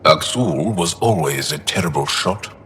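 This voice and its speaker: Guarded alien fighter in a game, real deep, halting but voice moves around a lot. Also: male voice, lively intonation, a bit bright, a little hiss or hum. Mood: warm, amused. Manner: halting, deliberate, formal phrasing. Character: earnest male alien resistance fighter, thoughtful and guarded. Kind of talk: video game dialogue acting.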